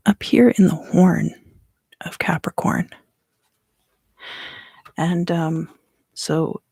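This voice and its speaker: Female ASMR card reader, hushed and unhurried, low-pitched with creaky, breathy texture, frequent pauses. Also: dry recording. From US East Coast South. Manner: soft, unhurried, pausing often. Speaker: tarot reader and ASMR creator. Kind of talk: whispered ASMR card reading.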